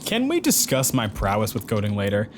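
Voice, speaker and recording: A man's voice: wildly swooping pitch, a little fast. A man playing an eager young military hacker in a sci-fi audio drama, casual and wisecracking. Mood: cheeky. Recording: loud vehicle rumble behind.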